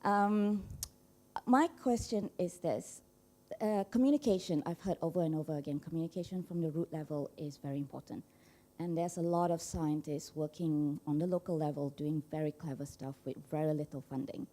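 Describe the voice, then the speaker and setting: Audience questioner, woman from Malaysia, informal delivery, soft-edged lowish voice. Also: moderate pace. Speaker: audience questioner, science communicator.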